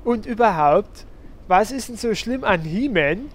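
A man's voice: high-pitched, lively intonation, measured pace. Guy doing a whiny self-absorbed hammer hero, indignant, comedy radio play, bit of noise behind. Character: vain, whiny male fantasy hero obsessed with his hammer. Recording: light background noise.

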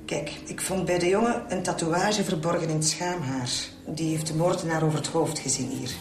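Radio drama: actress as brisk Flemish female pathologist, blunt and clinical, lowish pitch, music underneath. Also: roomy sound, close to an everyday voice. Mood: dry, clinical. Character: matter-of-fact female police pathologist, brisk and dry.

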